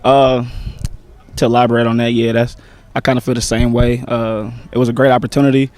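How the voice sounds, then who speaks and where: Relaxed, slangy young man. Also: mid-range voice, fairly quick, no echo. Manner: relaxed, slangy, hesitant with many "um"s. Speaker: stage actor. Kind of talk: casual interview chat.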